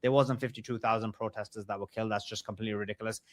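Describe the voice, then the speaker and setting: A man's voice: fairly low pitch, steady tone, quick pace. A man giving emphatic conversational commentary as an interview guest.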